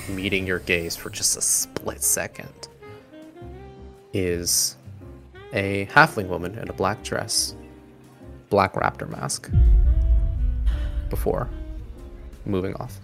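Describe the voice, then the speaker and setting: A man with a very low, gravelly voice runs a tabletop game. He improvises the narration conversationally and haltingly, with faint music underneath. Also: steady tone, a bit bright. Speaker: game master.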